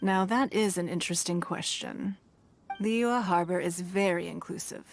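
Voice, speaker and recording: A woman voicing a shrewd, wealthy female city leader who speaks in a measured, authoritative, formal way. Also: fairly low pitch, gravelly, faint music behind. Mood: calm. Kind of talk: game cutscene voice acting.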